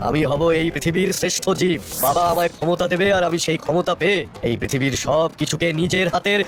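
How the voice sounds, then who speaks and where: A man voicing a high-pitched, power-hungry cartoon demon. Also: fast talker, close to an everyday voice. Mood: gloating. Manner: domineering, gloating, threatening. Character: power-hungry young male demon, menacing and boastful. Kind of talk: animated fairy-tale cartoon acting. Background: music.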